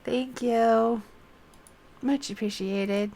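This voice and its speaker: A woman's voice: fairly high pitch, steady tone, very slow, mellow, a little hiss or hum. A woman streaming a game casually, chatting to herself as she plays. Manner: chatty, talks to herself while playing. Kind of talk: casual livestream play, reading quest dialogue aloud.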